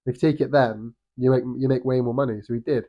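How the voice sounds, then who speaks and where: A man's voice: mid-range voice, steady tone, fast talker, muffled recording. A young British man with a cold and a slightly nasal voice, giving a casual, rambling boxing opinion vlog. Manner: rambling, frequent restarts and ums. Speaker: boxing vlogger.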